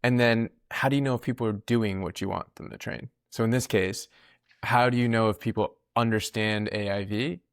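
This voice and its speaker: Low gravelly male podcast host. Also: fairly even intonation, normal speed, dry recording, studio quality. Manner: probing, lays out questions step by step. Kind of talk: interviewer asking questions.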